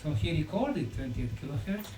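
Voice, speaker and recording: A high-voiced man lectures with a very lively, swooping pitch. He speaks in deliberate short phrases and pauses for effect, heard from a distance in an echoing room. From Bosnia. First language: Bosnian. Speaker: lecturer and researcher. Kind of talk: conference lecture. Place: indoor lecture room at a conference. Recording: echoey room, distant mic, faint noise.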